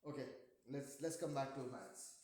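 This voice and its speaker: A man's voice: medium pitch, normal speed, mellow. A middle-aged man with an Indian accent teaching a math class, asking his students questions and cracking jokes. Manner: joking, asks students questions. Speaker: math tutor. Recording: echoey room.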